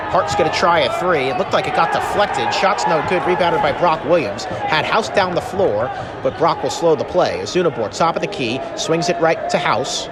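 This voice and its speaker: A man doing live radio play-by-play of basketball, with excitable, drawn-out calls on big shots. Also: a little high. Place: indoor college basketball arena. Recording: loud arena noise and crowd behind.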